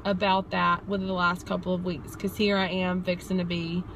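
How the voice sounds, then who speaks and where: A woman's voice: lowish voice, moderate pace. Woman vlogging with background noise. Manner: earnest, reflective. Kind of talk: casual personal vlog monologue. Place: inside a parked car. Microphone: phone or camera at arm's length. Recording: clear steady car ambience noise.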